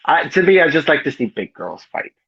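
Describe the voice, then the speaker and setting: A man's voice: on the high side, big pitch swings, a little fast, breathy edge, dry recording. Chatty man on a dull, lo-fi line. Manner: loose and chatty, full of "you know" and "like". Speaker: podcast co-host. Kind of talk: casual chat.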